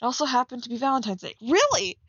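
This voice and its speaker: A woman's voice: fairly high pitch, very animated, fast, fairly bright tone, breathy edge, no room sound, muffled recording. A woman chatting casually on a stream as she reads aloud and critiques, teasing and blunt with sarcastic asides. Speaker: streamer reading and critiquing fan fiction. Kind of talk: casual live commentary mixed with reading aloud.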